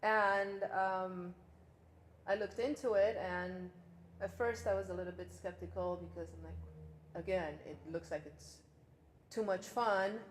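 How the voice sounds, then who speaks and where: A woman's voice: medium pitch, fairly even intonation, unhurried, reverberant, a little hiss or hum. A woman in her forties from Romania, a fitness trainer chatting easily in an interview. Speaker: personal trainer, gym co-owner. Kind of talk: casual interview.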